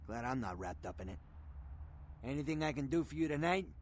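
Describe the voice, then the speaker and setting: A man's voice: mid-range voice, expressive, fairly quick, a little hiss or hum, natural voice. A man playing an offhand, streetwise underworld gun dealer in a video game. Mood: offhand, eager.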